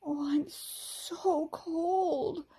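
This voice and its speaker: A woman's voice: very high voice, fairly even intonation, extremely slow, mellow, voice pushed up, heavily acted, low-quality recording. A woman voicing a tiny, sweet, earnest fairy girl in a children's story. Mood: cold, gasping. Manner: gasping, exclaiming.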